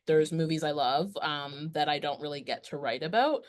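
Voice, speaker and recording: Low-voiced woman in her twenties. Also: normal speed. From Canada. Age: 20s. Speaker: guest TV critic. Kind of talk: casual podcast chat.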